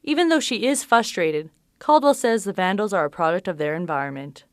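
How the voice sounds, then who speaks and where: A woman reading a scripted news report as a student reporter, in a measured reporting cadence. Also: mid-range voice, expressive, no echo.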